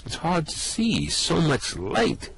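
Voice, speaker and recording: A man's voice: a little high, huge pitch swings, takes it slowly, vocal fry, slightly rough, no echo, full character voice, rough recording. A man voicing an anxious ordinary Earthman in an amateur radio play reading, nervously describing what he sees. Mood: worried.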